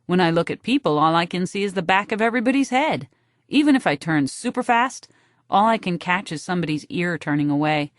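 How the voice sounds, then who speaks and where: Deadpan woman reading a novel, dry sound. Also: fairly low pitch, brisk, no echo. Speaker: audiobook narrator. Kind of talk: first-person novel reading.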